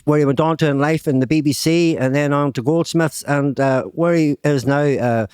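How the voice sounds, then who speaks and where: A man's voice: a little high, fairly even intonation, normal speed, darkish tone, clear, resonant tone, no echo, clean studio sound. A middle-aged man with a Northern Irish accent, hosting a casual chat, talking with lots of fillers and laughs. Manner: chatty, laughing, many fillers. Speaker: podcast host.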